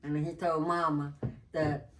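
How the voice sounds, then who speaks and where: A woman's voice: low-pitched, flat delivery, unhurried. A woman telling family stories in a blunt, teasing way during a casual chat. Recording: distant mic with faint noise.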